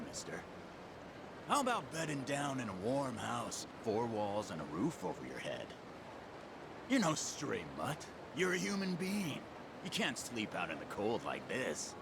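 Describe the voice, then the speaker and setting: A man's voice: medium pitch, vocal fry, background noise, heavily acted. An actor playing a smarmy, short-tempered male yakuza thug in a video game, pushy and swaggering. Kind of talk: dramatic video game voice acting. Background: music.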